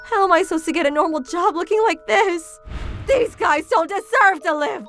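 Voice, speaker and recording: A woman's voice: very high-pitched, lively intonation, moderate pace, a bit bright, faint music behind, full character voice. A woman voicing a grieving young wife bent on revenge, speaking bitterly and intensely in a manga dub.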